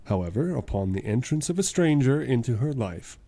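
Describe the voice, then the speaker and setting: A man playing a wry, self-sacrificing lawyer with a measured, formal manner in a radio drama. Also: mid-range voice, very animated, mellow. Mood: dry, prosecutorial. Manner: measured, mock-courtroom formality. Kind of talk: old-time radio drama acting.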